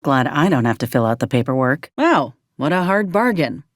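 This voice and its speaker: A woman's voice: extremely low pitch, very animated, normal speed, no room sound. A woman playing a wry, plainspoken former combat medic in a video game, loyal to her company, with dry, sardonic, folksy phrasing.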